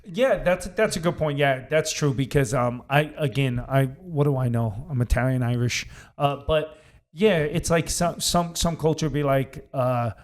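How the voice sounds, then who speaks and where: A man's voice: a little high, a little slow. Chatty male podcast host with a New York accent, upbeat and easygoing. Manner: upbeat, chatty, laughs easily. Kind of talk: casual interview chat.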